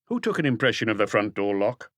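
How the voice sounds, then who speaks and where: Man playing a low-voiced, persistent police detective. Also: sing-song, fast, a bit bright, dry recording, clean studio sound. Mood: accusing. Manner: pointed, pressing questioning. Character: probing, persistent male police detective, firm and unhurried.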